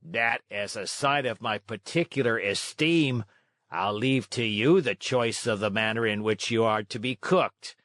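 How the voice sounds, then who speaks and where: A man acts out an ugly green monster of a fisherman from a children's storybook. He is greedy and pompously polite, lingering slowly over his words in a dry, close recording. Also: lowish voice, a bit bright, no room sound. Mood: mock courteous. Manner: slow, savoring, pompous. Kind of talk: children's storybook read-aloud.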